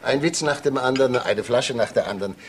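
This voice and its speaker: A man playing a calm, matter-of-fact police detective in a crime drama, with dry, measured delivery. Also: mid-range voice, a little hiss or hum, close to an everyday voice. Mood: reporting. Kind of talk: German TV crime drama acting.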